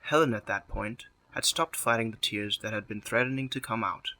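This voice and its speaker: A man's voice: lowish voice, fairly quick, bright, forceful tone. A man narrating a scripted drama story as its storyteller.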